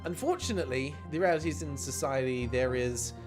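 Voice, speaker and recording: A man streaming a game, chatty and expressive as he comments and reads the text aloud. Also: medium pitch, brisk. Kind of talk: livestream chat and read-aloud game commentary. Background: game music.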